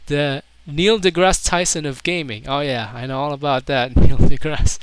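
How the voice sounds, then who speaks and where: A man's voice: on the high side, steady tone, normal speed, noisy background. A man doing casual video commentary, reading out online comments in an amused, mocking way.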